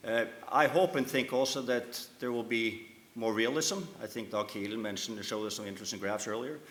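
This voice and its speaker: A man with a lowish voice speaks on a business panel and works his way through each point with many restarts and fillers. The room is echoey, and his voice carries off the walls of the conference hall. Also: steady tone, brisk, fairly bright tone. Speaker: shipping company CEO on a panel.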